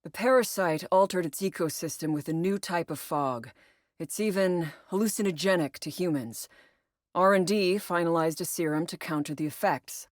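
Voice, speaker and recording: A woman's voice: lowish voice, moderate pace, no room sound, clean studio sound, natural voice. An actress playing a female military operative in a video game, briefing her squad with clipped, instructive delivery. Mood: focused, commanding. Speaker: mission briefer and incursion leader.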